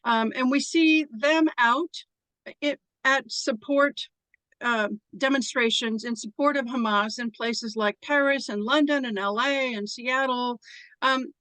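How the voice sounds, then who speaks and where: A woman hosts an interview and asks her questions in a friendly, earnest way. Her voice sits a little high, and the recording is dry. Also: unhurried, bright, forceful tone, dry recording, rough recording. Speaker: show host. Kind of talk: hosting an interview.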